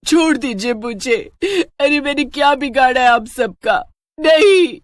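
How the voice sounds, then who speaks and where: A woman's voice: sits high, measured pace, dry recording. A woman playing a determined, earnest village witch devoted to her family in a cartoon story. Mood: desperate.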